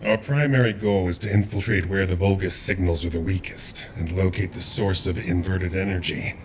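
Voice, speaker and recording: Very deep, steadfast military commander played by a man. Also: steady tone, normal speed, natural voice. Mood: grave resolve. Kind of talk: video game mission briefing. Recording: rumbling game noise behind.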